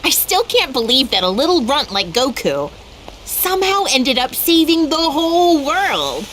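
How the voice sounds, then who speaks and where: A woman's voice: sits high, sing-song, measured pace, a bit bright, slight background noise, heavily acted, rough recording. An actress playing a sassy, short-tempered young woman in an anime video game. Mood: incredulous. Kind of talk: English anime game voice acting.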